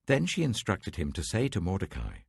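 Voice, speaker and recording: A man reading scripture aloud in a measured, dramatic manner, close to the mic. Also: low voice, wildly swooping pitch, gravelly, slightly rough, no room sound. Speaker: Bible reader.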